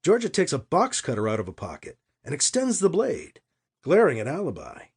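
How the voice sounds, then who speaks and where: A man's voice: on the high side, wildly swooping pitch, brisk, husky. A man reading fiction aloud as an audiobook narrator, in a dramatic scripted storytelling style.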